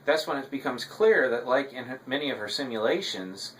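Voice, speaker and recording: A man gives a recap in a mid-range voice, speaking as a reviewer to his audience. His delivery is halting, often pausing on an um before the next phrase. A faint noise sits behind the voice. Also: mid-range voice, expressive, a bit bright, poor audio. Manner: halting, hesitant delivery with frequent ums. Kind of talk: episode recap narration to audience.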